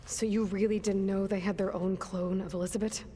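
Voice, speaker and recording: An actress playing a guarded, headstrong young heroine in a video game, talking in a blunt, wry, probing way. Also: female voice, mid-range voice, flat delivery, brisk, mellow, a little hiss or hum, close to an everyday voice. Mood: accusing. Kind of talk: video game dialogue acting. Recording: faint music behind the voice.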